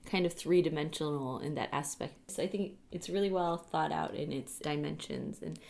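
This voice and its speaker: Low, creaky-voiced woman co-hosting. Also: normal speed, a little mellow. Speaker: podcast co-host. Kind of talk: casual chat.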